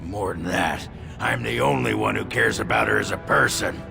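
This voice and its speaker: A man voicing a gruff, bitter, sardonic dwarf husband in a video game, grumbling his way through the words. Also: lowish voice, moderate pace, over music. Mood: bitter, insistent.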